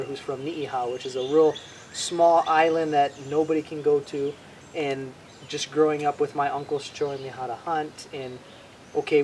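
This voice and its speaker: A man from Hawaii, a bushcraft instructor, chatting casually as a guest, relaxed and rambling with many restarts. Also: fairly high pitch, slight background noise. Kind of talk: casual interview chat.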